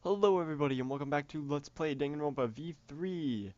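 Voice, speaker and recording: A man's voice: a little high, fast talker, darkish tone, no room sound, dull audio. A man with a head cold and a stuffed-up nose, casually commenting on a video game, chatty, swearing now and then. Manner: chatty, self-interrupting, laughs often, swears casually. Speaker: let's play host.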